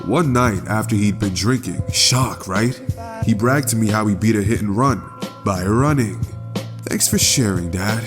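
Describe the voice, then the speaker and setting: A man's voice: fairly low pitch, a little slow, over music, natural voice. An actor playing a bitter, sardonic young man narrating his own origins in a dramatic comic dub. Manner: sardonic, wry. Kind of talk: dramatic first-person narration.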